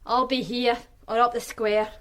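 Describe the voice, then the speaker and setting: A woman's voice: on the high side, little pitch movement, normal speed, a bit bright, natural voice. An actress playing a guarded, streetwise woman from Glasgow with a Glaswegian accent, talking in a dry, sardonic way in a radio drama. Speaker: witness, flatmate of the victim.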